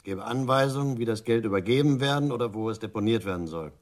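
An actor playing a blunt, matter-of-fact criminal for hire in a radio crime drama. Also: male voice, mid-range voice, fast, close to an everyday voice. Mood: businesslike. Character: hard-nosed, businesslike male criminal for hire.

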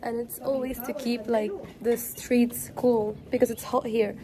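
A woman's voice: a little high, little pitch movement, normal speed. A young woman from northern Morocco, vlogging her travels in a chatty, upbeat way while talking to her phone. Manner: chatty, upbeat, talks straight to camera. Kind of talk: casual travel vlog. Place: busy outdoor markets and streets of an old city. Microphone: phone held at arm's length. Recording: loud street crowd and traffic noise. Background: loud crowd and traffic.

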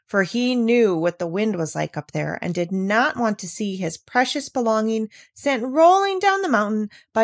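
A woman reading a classic children's novel aloud, with measured, expressive delivery. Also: on the high side, no echo, studio quality.

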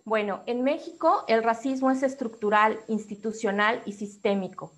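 A woman with a Mexican accent speaking as an activist on a discussion panel, delivering a prepared formal talk in a measured, articulate way. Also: on the high side, steady tone, a bit bright.

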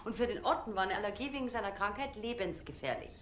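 Eine Schauspielerin spielt eine trockene, spöttische Pathologin mit bayerischem Akzent in einem Fernsehkrimi. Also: female voice, medium pitch, expressive, fast talker, slight background noise, close to an everyday voice. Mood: dry. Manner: dry, mocking. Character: sharp-tongued female pathologist.

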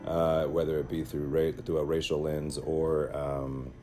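A Seattle man in his late thirties to mid forties gives a halting interview answer. His voice sits very low and dark, and he keeps stopping and restarting. Faint guitar music plays under him. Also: level tone. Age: late 30s to mid 40s. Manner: hesitant, many fillers and restarts. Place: indoor storage room with swim gear.

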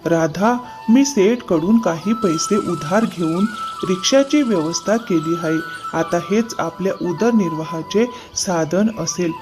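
A man playing an earnest, determined poor carriage driver and loving father in a cartoon moral story, speaking in a measured way. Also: sits high, over music.

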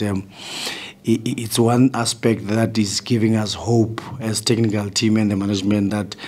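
Flat-voiced South African football coach. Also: male voice, fairly low pitch. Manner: measured, restarts phrases often. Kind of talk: post-match press conference answers. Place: indoor press room. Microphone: table mic in front.

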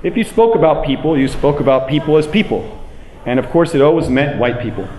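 An American man in his forties giving a public lecture in an emphatic, deliberate manner, repeating phrases for weight. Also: fairly high pitch, expressive, a little mellow. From United States. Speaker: public speaker. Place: indoor room with plain walls, speaking to an audience. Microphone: handheld microphone, later a small clip-on mic. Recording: roomy echo, clear background noise.

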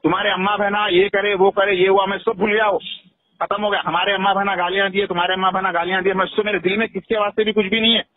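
Male caller, Hyderabadi accent. Rapid, high voice, insistent and coarse-tongued, over a phone. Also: flat delivery, no echo. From Hyderabad, India. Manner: insistent, repetitive, swearing. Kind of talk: heated informal phone conversation. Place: telephone call, played in a news upload. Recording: tinny phone call recording.

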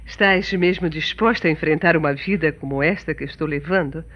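An actress playing a warm-hearted, contented wife and mother in a radio soap, with a husky voice and Brazilian accent. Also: low voice, fairly quick, muffled recording. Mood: earnest, tender. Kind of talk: radio soap opera acting.

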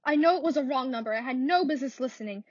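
A woman's voice: high-pitched, rapid-fire, darkish tone, husky, no room sound, lo-fi sound. A woman playing an anxious, demanding invalid wife in an old-time radio thriller, nervous and melodramatic. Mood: horrified, insistent.

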